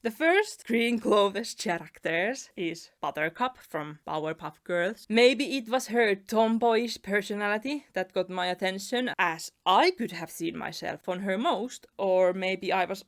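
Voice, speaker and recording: A woman's voice: mid-range voice, a little slow, few pauses. A young woman from Finland with a Finnish accent, chatting through a storytime narration with little laughs between phrases. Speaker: animation YouTuber. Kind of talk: storytime narration over a speedpaint.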